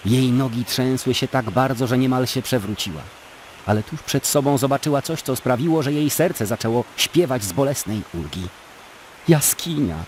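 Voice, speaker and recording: Male narrator, medium pitch, dramatic scripted storytelling, expressive, audio drama, noisy background ambience.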